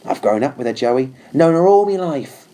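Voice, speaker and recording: A man's voice: medium pitch, very animated, normal speed, warm tone, voice pushed up, lightly acted. A man voicing a simple, sincere young cavalry trooper confiding in his horse, informal and homely, for a storybook. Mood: wistful, confiding.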